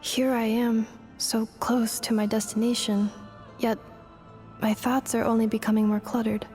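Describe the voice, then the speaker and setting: A woman voicing an earnest, analytical female robot in a video game, speaking in formal, measured phrases. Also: a little high, little pitch movement, darkish tone, soft background music, natural voice. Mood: troubled.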